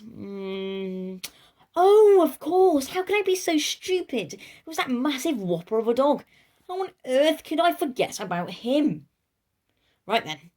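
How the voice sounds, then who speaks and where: A woman's voice: fairly high pitch, darkish tone. A woman reading a children's storybook aloud in a playful, theatrical way, speaking directly to her listeners. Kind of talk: animated children's storybook narration.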